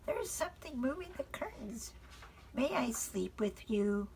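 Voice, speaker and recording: A woman's voice: on the high side, expressive, unhurried, gravelly, noisy background, voice pushed up. A woman voicing a timid, fretful young girl full of hesitant questions in a bedtime storybook. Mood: pleading, puzzled.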